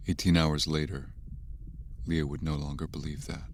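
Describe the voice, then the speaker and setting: An actor playing a grave, ominous narrator of a horror audio drama, reading in a measured way. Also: male voice, extremely low pitch, little pitch movement, noisy background. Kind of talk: scripted narration.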